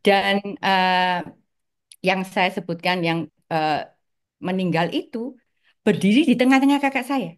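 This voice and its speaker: A woman in her sixties from East Java, a guest recalling her family's past in an interview, pausing often with fillers. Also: mid-range voice. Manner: hesitant, many filler pauses. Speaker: guest, article author. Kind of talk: interview, personal recollection.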